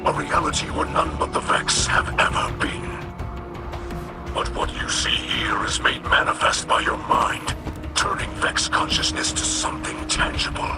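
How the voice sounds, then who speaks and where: A man playing a solemn, devout alien elder in a video game, speaking formally and haltingly in a breathy rasp. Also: fairly high pitch, lightly acted. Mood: reverent, warning. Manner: formal, deliberate, halting phrasing. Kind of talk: cinematic video game dialogue. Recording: loud music behind the voice. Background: loud game soundtrack.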